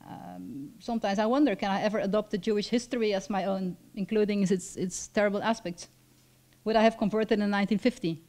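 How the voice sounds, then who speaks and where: A Flemish-accented woman in her fifties gives a personal talk at a lectern. She reads from her notes in a measured, reflective way and often pauses on an um. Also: mid-range voice, fairly even intonation, darkish tone. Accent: Flemish Belgian. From Belgium. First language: Dutch. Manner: reflective, measured, with frequent ums. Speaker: lecturer. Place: lecture hall stage at a lectern. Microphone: stand mic at a lectern.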